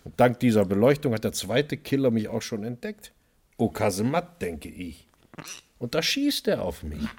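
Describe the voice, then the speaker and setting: An actor playing a wry, stubborn chef who narrates his own radio crime drama in a husky voice. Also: on the low side, very animated, moderate pace, darkish tone, full character voice. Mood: weary, stubborn. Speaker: lead actor and first-person narrator.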